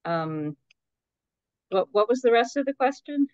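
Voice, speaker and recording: A woman's voice: fairly high pitch, animated, measured pace, darkish tone, no echo, lo-fi sound. A woman, a guest editor, giving conversational advice in an interview over an online call.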